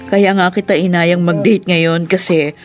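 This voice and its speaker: Actress as a chummy young Filipina friend. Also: female voice, fairly low pitch, steady tone, a little slow, faint music behind, natural voice. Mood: friendly, teasing. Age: young adult. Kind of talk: radio drama acting.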